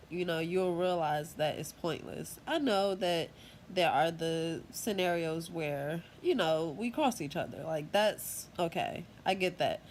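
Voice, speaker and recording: A young woman with a cold talks straight to her tablet in a loose, rambling way, full of "um"s and "like"s. Her voice sits a little low and sounds stuffed up. A faint room hum stays underneath. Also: darkish tone. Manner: rambling, chatty, full of fillers. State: congested from a cold. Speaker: channel host. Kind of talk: casual vlog monologue. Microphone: tablet microphone.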